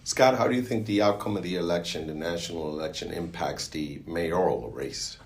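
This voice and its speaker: A man's voice: low voice, normal speed, vocal fry. A man hosting a podcast interview, asking conversational questions that he repeats and rephrases. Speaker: podcast host.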